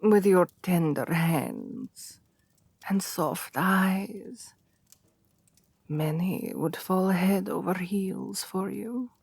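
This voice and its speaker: Slow, breathy vampire queen, played by a woman. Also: lowish voice, no echo, heavily acted. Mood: seductive, coaxing. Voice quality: breathy, often dropping to whispers. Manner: languid, condescending, commanding purr with soft chuckles. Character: seductive, domineering female vampire queen, haughty and possessive. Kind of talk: ASMR roleplay, intimate and unhurried.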